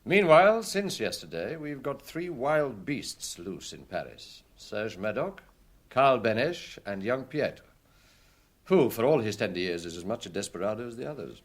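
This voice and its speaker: Unflappable police inspector, radio drama, lively varied intonation, shrewd, measured, assured. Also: male voice, mid-range voice. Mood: dry, assured.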